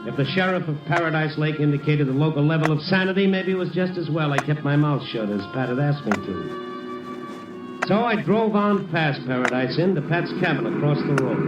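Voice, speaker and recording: A man's voice: a little high, recorded from a distance, natural voice. An actor playing a wry, slangy hardboiled male reporter in an old radio detective drama. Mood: wry narration. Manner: sardonic, slangy, clipped wisecracks. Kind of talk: 1940s-50s radio detective drama acting and narration. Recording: dull old radio recording, roomy, music behind.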